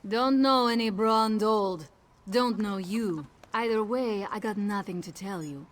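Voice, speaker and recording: A woman's voice: mid-range voice, measured pace, lightly acted. A woman playing a surly, curt and irritable tavern dice gambler in a video game. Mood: irritated. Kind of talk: video game character dialogue.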